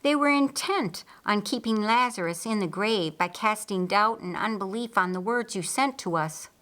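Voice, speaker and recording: A woman's voice: medium pitch, normal speed, a bit bright, lightly acted. A woman voicing an observant, earnest female disciple in an audiobook, explaining things in a frank, matter-of-fact manner. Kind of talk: audiobook character dialogue.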